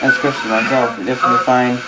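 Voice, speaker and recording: A young adult man with a Canadian accent giving a casual, unscripted hobby demonstration in a halting, rambling way, often repeating words. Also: mid-range voice, level tone. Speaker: vintage radio hobbyist and host. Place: indoor workbench in a room. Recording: home video with faint background noise.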